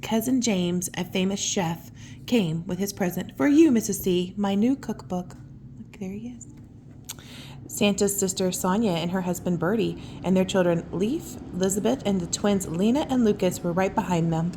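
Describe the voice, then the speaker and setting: A woman reads a children's bedtime story over a faintly noisy background. She has a mid-pitched voice and breaks off for folksy, cooing little remarks about the pictures, as a storyteller does with small children. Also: moderate pace, warm tone. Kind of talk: bedtime read-aloud with casual asides.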